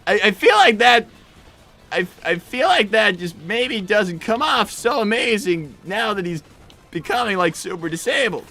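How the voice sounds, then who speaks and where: A performer voicing an excitable young fox boy, a flustered older brother who stammers and argues on an in-character gaming livestream. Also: mid-range voice, a little slow, faint music behind, exaggerated voice. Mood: awkward, earnest. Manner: stammering, exasperated, argumentative.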